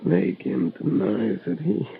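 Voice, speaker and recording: A dying man in a vintage radio drama, his voice husky and full of creak. He speaks haltingly, in pain and short of breath, fearful for his friend. The old recording is muffled. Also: high voice, lively intonation, heavily acted. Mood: labored, insistent. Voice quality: hoarse, gravelly. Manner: halting, repeats broken phrases. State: weak, labored breathing, in pain. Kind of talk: 1950s radio drama acting.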